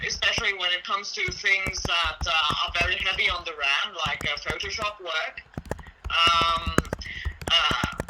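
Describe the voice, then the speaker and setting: A young woman with a relatively deep voice, chatting casually and hesitantly with lots of fillers. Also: heavy background noise. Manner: hesitant, many fillers and restarts. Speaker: project creator. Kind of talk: casual chat.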